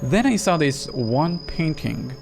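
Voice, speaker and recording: A wary young woman in a horror game, read by a man in a fairly high voice. She tells her story plainly and frankly, with a slightly sardonic edge. Music sits clearly behind the words. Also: sing-song, slow pace, exaggerated voice. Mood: reflective.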